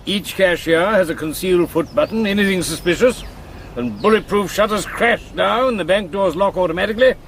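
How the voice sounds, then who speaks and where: Radio drama: older gentleman colonel and reluctant crook, courteous, doubting, creaky voice pitched fairly high. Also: fairly quick, slight background noise. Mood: sceptical, exasperated.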